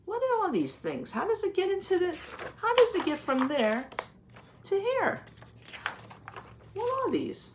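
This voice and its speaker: A woman teacher reading a picture book aloud to young children, explaining as she goes and pausing to ask questions and confirm answers. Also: very high-pitched, wildly swooping pitch, background noise.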